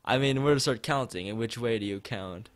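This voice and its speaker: A man's voice: mid-range voice, a little fast, no echo, clean studio sound. A man live streaming a visual novel, reading its text aloud and drifting into rambling asides to the chat. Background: background game music.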